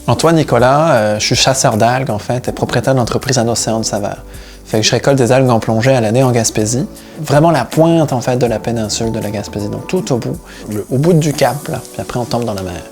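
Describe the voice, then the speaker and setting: A man from the Paris region, telling about his own work and life in a relaxed interview account. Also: medium pitch, fast talker, faint music behind. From Paris region, France, with Breton roots. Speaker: seaweed harvesting diver and business owner, interviewee.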